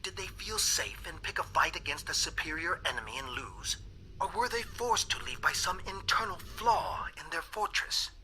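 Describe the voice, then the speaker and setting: A worried alien scientist from a sci-fi game, with a fairly high and very sharp, bright voice. He delivers formal, grave monologues like a secret dissenter, over faint noise and ambience. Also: animated, rough recording. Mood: wondering, grave. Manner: articulate, formal, grave, deliberate. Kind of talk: scripted video game voice acting, monologue message.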